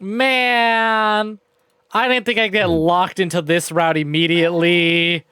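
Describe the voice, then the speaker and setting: A man's voice: high voice, little pitch movement, slow pace, pushed and bright, clear, resonant tone, dry recording. A man giving casual live-stream commentary on a game, sweary and thinking aloud.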